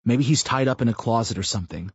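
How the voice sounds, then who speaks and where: A man's voice: medium pitch, quick pace, slightly rough, dry recording, natural voice. A male narrator voicing a worried father looking for his son in an audiobook. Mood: anxious. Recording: muffled lo-fi audio.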